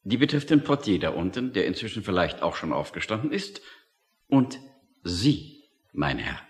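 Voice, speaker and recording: An officious official, echoing hall. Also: male voice, sits low, sing-song. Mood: officious, cool. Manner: formal, measured, official. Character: male official, officious, authoritative, coolly issuing orders. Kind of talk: radio drama acting. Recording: long echoing hall reverb.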